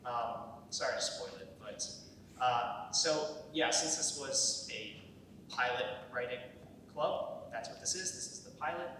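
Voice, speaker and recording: A man's voice: lively intonation, a little slow, pushed and bright. A young man speaking casually and unscripted as he presents a project to an audience. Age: young adult. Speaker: executive producer presenting a student script. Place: large echoey indoor room, live event. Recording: very echoey hall, distant mic, rumbly noise.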